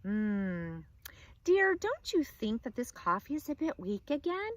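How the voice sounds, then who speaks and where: A woman's voice: a little high, big pitch swings, a little slow, no echo. Fussy polite husband at dinner in a storybook, read by a woman.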